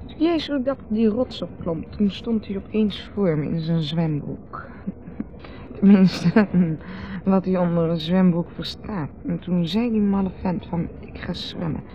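An actress with a Netherlands Dutch accent playing a dreamy, teasing wife who rambles and repeats herself in a radio drama. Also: female voice, on the low side, a little slow, slight background noise, lightly acted. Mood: rambling, evasive. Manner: rambling, repetitive, musing. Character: dreamy, rambling, teasing wife, drinking under a full moon. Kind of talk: 1970s radio drama acting. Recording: dull old radio recording with music.

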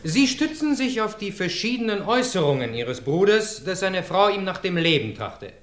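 A stern judge in a 1950s radio play, questioning a witness in a formal, probing manner. His voice is high and moves a lot in pitch. The old recording sounds roomy and echoey. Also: sing-song, normal speed, fairly bright tone. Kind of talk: 1950s radio drama acting.

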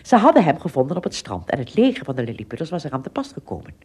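Low, lively woman storyteller. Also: breathy edge. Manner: expressive storyteller with dramatic pauses and asides. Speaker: narrator. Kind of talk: scripted children's story narration.

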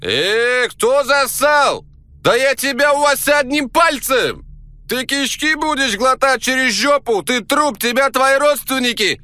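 A man voicing a furious, threatening fire demon with a Caucasian accent, loud and taunting in a comic audio story. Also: very high voice, expressive, a little slow, dry recording, voice pushed up, full character voice. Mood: enraged shouting.

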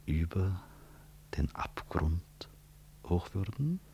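An actor playing a grave, principled professor in a radio drama, reflective and formal, on an old recording. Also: male voice, deep voice, big pitch swings, very slow, gravelly, mellow, a little hiss or hum, exaggerated voice. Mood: wry, reserved. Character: grave, principled professor, humble but proud. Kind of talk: 1960s German radio drama acting.

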